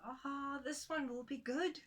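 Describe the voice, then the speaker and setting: A woman's voice: sits high, steady tone, takes it slowly, voice pushed up. A woman voicing a kind, easily flustered woodcutting wife in an exclamatory storybook style. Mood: pleased. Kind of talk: storybook character voice.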